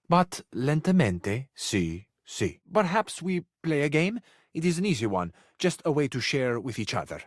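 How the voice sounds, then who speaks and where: A man's voice: on the low side, dry recording, clean studio sound. A man voicing a suave, passionate living cabinet in a video game, with an Italian accent and a florid, flirtatious, earnest way of talking. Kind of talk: theatrical romantic video game voice acting.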